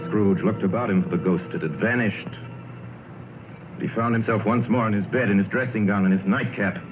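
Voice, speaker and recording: A man narrates the story in a deep, measured voice. It comes through a tinny 1930s radio broadcast with music under it. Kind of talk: scripted dramatic narration.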